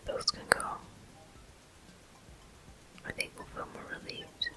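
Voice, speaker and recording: A person whispering soft, breathy, unhurried commentary in short phrases while playing a video game for ASMR viewers. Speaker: video game player and host.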